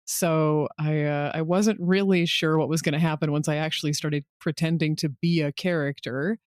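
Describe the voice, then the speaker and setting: A woman's voice: deep voice, steady tone, normal speed, a little mellow, no room sound. Casual woman storyteller, dry close sound. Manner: informal, peppers talk with "like" and acts out quoted exchanges. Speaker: podcast guest, audio voice director and writer.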